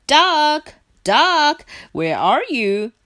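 A woman's voice: high-pitched, sing-song, very slow, no room sound, clean studio sound, pitched above the performer's own voice, full character voice. A woman voicing a worried male hare in a children's storybook, anxious and puzzled. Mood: worried calling. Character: worried male hare searching for his friends.

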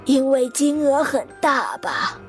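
An actress playing a polite, observant lady in an audio mystery drama, deliberate and quietly probing. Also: sits high, big pitch swings, heavily acted. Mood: curious, polite. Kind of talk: radio drama acting. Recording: music behind the voice.

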